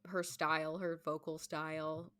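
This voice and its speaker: A woman's voice: sits low, flat delivery, unhurried, pushed and bright. A woman co-hosting a podcast in casual chat, conversational and loose, often saying "like" and "you know". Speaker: podcast co-host, stage performer.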